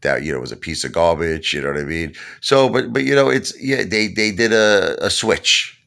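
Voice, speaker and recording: A middle-aged man with a New York accent talks in a very low, deep voice. He rambles through casual chat, stacking fillers and restarts as he goes. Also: moderate pace, gravelly. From Brooklyn, New York. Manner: rambling, animated storyteller with many fillers. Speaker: channel host.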